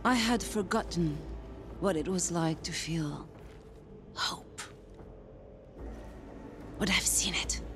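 A woman playing a grave, weary military leader in a video game, solemn and earnest, with a breathy voice that often drops to a whisper. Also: fairly low pitch. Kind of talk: dramatic video game cutscene acting. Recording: music behind the voice.

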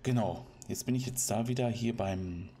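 A man's voice: on the low side, normal speed, warm tone. A German man giving casual, playful commentary while playing a game, chatting to the animals and villagers he meets. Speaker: let's play commentator.

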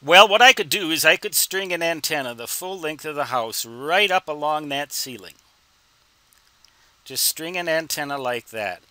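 A man teaches informally in a very sharp, bright voice that sits a little high. His phrasing is deliberate, with a wry, folksy humor. The sound is dry, as from a close microphone. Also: expressive, dry recording. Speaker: instructor answering reader questions. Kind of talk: informal explanatory tutorial.

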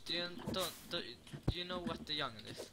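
A man's voice: a little high, fairly quick, background noise. A man with a Swedish accent chatting casually while playing a video game together with friends. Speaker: game player. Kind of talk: casual gaming chat.

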